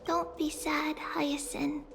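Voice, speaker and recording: Little girl game character, super high voice, slow, serene and consoling. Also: level tone, vocal fry, over music, heavily acted. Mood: tender, serene. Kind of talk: English video game voice acting.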